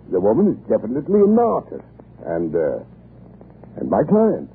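Flustered British husband, swooping stammer. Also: male voice, medium pitch, huge pitch swings, normal speed. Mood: indignant, blustering. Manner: stammering, hemming and hawing. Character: flustered, blustering upper-class husband hiding a secret. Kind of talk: 1930s radio drama acting. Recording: noisy, tinny 1930s radio broadcast.

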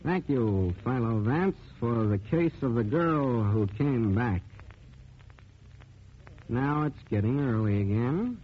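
A man hosting a 1940s radio mystery program, giving a late-night sign-off in an unhurried announcer's style. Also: mid-range voice, lively intonation, slightly rough. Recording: tinny 1940s radio, faint music.

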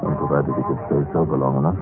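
An actor playing a timid, apologetic dead government clerk who tells his own story haltingly and deferentially in a radio drama. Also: rumbling low, level tone. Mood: wry, resigned. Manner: hesitant, stammering, deferential. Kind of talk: radio drama acting, first-person storytelling. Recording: muffled old radio recording with music.

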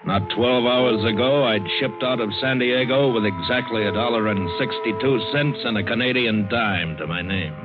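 A man's voice: medium pitch, normal speed, hardly any pauses, loud music behind the voice, close to an everyday voice. An American actor playing a tough, wry seaman who tells his adventure in vintage radio drama style. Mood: wary, brooding. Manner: hard-boiled, wry, dramatic. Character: tough, wary, wisecracking seaman and adventurer telling his tale. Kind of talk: 1950s radio drama acting with first-person narration. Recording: scratchy, hissy narrowband old radio recording.

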